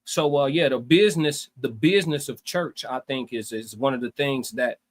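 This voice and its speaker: A man's voice: medium pitch, normal speed. A man co-hosting a livestream, talking casually through his opinions, stacking points in lists and checking that listeners follow. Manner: repetitive, builds points in lists, checks in with "you know what I'm saying". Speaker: livestream co-host.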